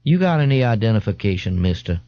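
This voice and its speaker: A man voicing a gruff but uncertain city policeman. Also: on the low side, very animated, quick pace, no room sound, muffled recording. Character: unsure city policeman, gruff then wavering.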